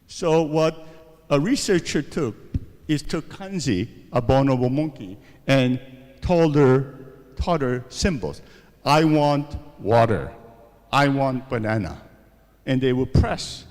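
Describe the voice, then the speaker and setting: A man's voice: mid-range voice, a little mellow, long reverb tail. A man giving a university seminar lecture, asking rhetorical questions and often checking in with "okay?" and "right?". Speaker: seminar speaker.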